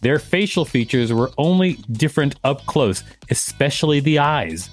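A man's voice: mid-range voice, animated, moderate pace, faint music behind. A man hosting a podcast, reading an article aloud and adding casual commentary. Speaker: podcast host.

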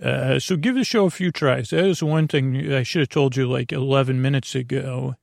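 A man with a creaky, gravelly voice hosting a bedtime podcast, rambling in a halting, meandering way and drifting off into tangents. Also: medium pitch, a little mellow, no room sound, studio quality. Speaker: podcast host.

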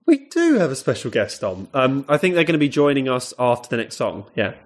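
London guy on a podcast, rambly storyteller, does a lower voice for quotes. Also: very animated, fairly quick. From south London. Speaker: podcast co-host. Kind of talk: casual comedic chat.

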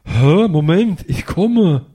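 Man as a high-pitched, simple young sidekick. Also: expressive, slow pace, dark tone, breathy edge, heavily acted. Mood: alarmed. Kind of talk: comedic audio drama acting.

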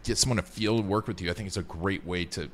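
A man hosts an interview and asks his questions in a chatty, lively way. His voice sits low, and he speeds up in quick bursts. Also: a little hiss or hum. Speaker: interview host. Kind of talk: conversational interview.

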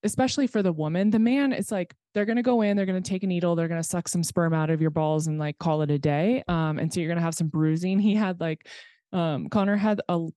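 A woman's voice: on the low side, fairly even intonation, quick pace, mellow, dry recording, studio quality. A woman in her thirties, a podcast guest talking candidly and bluntly, swearing freely in casual chat.

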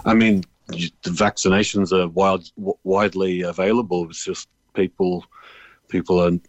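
A man's voice: sits low, fairly even intonation, normal speed, no room sound. A man with an Australian accent giving casual answers as a phone-in guest, matter-of-fact, hesitant and fed up. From born in Ireland, grew up in Australia.

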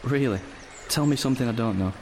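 A man's voice: mid-range voice, quick pace, darkish tone, slight background noise, natural voice. An actor playing a frank, exasperated teenage boy in an audio drama, blunt and sardonic. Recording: long reverb, faint outdoor ambience.

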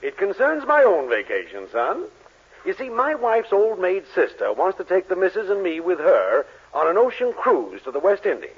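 Casting: wry, pompous middle-aged schemer, high voice leaping up and down, noisy vintage radio. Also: male voice, wildly swooping pitch, normal speed. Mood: wry complaining. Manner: pompous, dryly sarcastic. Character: fussy, scheming middle-aged husband, wryly exasperated boss. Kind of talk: 1940s radio sitcom acting. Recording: dull, noisy 1940s radio recording.

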